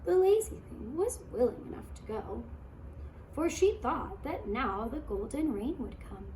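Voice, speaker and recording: Casting: female children's storyteller, unhurried and expressive, slightly high voice, recorded in an echoey room. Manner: slow, deliberate, expressive storytelling. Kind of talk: scripted fairy-tale narration for children. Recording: echoey room with faint noise.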